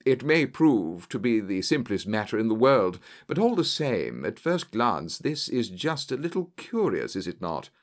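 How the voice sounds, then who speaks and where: A man's voice: fairly low pitch, sing-song, moderate pace, hardly any pauses. A man voicing a keen, self-assured detective, thoughtful and precise, in an audiobook story. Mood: thoughtful, curious.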